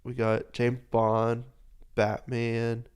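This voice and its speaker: A man's voice: mid-range voice, completely flat delivery, extremely slow, smooth. A young man co-hosting a podcast in casual chat, sounding nasal from a cold, with a teasing, playful way of talking. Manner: teasing, playful, drifts off into asides. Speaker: podcast co-host.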